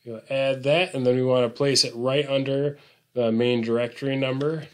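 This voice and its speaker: A man presenting an unscripted software tutorial, explaining things informally step by step. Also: mid-range voice, fairly even intonation, moderate pace.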